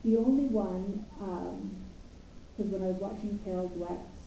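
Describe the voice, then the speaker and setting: A woman co-hosting a casual weekly work meeting, chatty and quick to laugh, often trailing off mid-sentence. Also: medium pitch, creaky, mellow, recorded from a distance, slight background noise. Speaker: co-host of a weekly progress meeting. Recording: echoey dull room sound from off mic.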